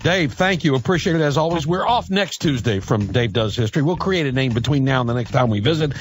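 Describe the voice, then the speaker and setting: A man's voice: medium pitch, lively intonation, fast talker, soft background music. A man hosting a live call-in radio show, putting questions to his guest in a conversational style. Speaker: radio talk show host.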